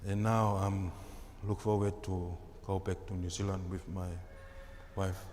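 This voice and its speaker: Casting: man, even intonation, halting public account, reverberant noisy hall. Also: deep voice. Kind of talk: halting personal account to a meeting. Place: community hall. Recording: echoey hall, background noise.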